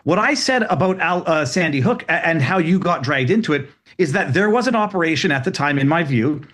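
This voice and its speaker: A man who is a guest on an interview show, arguing his points in measured, lawyerly phrasing. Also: on the high side, lively intonation, fairly bright tone. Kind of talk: interview discussion.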